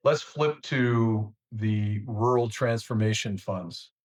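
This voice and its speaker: American male host, lowish voice, conversational interviewing, plainspoken and folksy. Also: takes it slowly.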